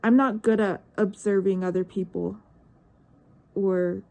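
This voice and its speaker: A woman's voice: medium pitch, steady tone, a little slow, vocal fry, dark tone. A nineteen-year-old woman talking to herself in a personal vlog, searching and hesitant, her thoughts trailing off into questions. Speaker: vlogger. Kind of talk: reflective personal monologue.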